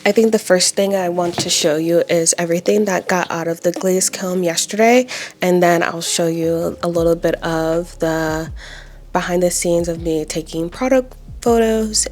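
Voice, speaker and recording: A young woman, a pottery and watercolor artist, chatting casually in her vlog with a raspy voice from not talking all day, rambling with lots of "like" and "um.". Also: on the low side, steady tone, unhurried, a little mellow. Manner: rambling, with frequent "like" and "um".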